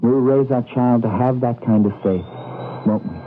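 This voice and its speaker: A man's voice: mid-range voice, moderate pace, background noise, lightly acted. An actor playing an earnest, trusting young husband and idealistic rebel in a radio drama, anxious and coaxing as he talks. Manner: anxious, coaxing, seeks agreement. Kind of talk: old-time radio drama acting. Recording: dull, band-limited 1980s radio recording.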